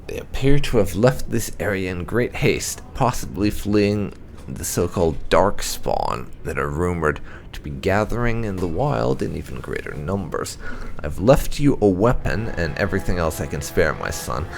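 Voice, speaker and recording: A man with a very low voice reads written game lore aloud like a storyteller. His delivery is measured but rises and falls expressively, with a gravelly creak throughout. Music plays faintly behind him. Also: sing-song. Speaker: gaming video host. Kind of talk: reading game lore notes aloud, narration.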